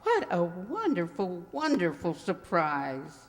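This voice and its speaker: A woman acting a fluttery, excitable spinster librarian in a radio play, often stammering and flustered. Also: fairly low pitch, slow pace, heavily acted. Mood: delighted surprise. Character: fluttery, gushing spinster college librarian, Miss Twig. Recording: reverberant hall.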